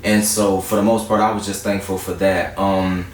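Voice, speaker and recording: A man's voice: low-pitched, flat delivery, moderate pace. A man talking casually as an interview guest, a singer telling stories in slangy, conversational speech. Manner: slangy, conversational storytelling with frequent check-ins. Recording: echoey room, distant mic, faint noise.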